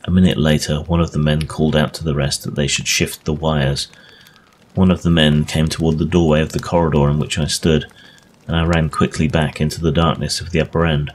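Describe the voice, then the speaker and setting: A man with an extremely deep voice reads an old-fashioned ghost story as a narrator. His delivery is that of a dramatic audiobook. The recording has clear background noise. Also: little pitch movement, brisk.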